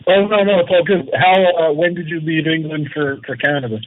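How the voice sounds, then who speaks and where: A man's voice: on the high side, steady tone, brisk. Rambling man in his forties on a phone-like line. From Atlantic City, US. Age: 40s. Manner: rambling, lots of ums and you-knows, friendly. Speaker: comics writer and editor, guest. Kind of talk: casual interview. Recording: dry, tinny phone-like line.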